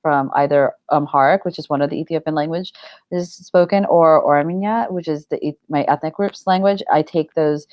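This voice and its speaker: A woman's voice: sits low, normal speed, no room sound, dull audio. A middle-aged woman chatting casually in an interview, rambling informally with lots of "like" and "you know". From United States.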